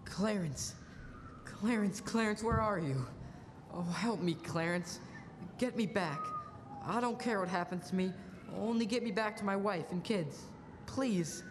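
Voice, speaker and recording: A young woman acting an overjoyed, desperate family man in a radio play, with an excitable, exclamatory delivery. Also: medium pitch, measured pace, noisy background, natural voice. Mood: desperate pleading. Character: overjoyed, desperate family man given his life back. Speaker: student actor. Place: school auditorium stage. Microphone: stand microphone. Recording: echoey school stage, music behind.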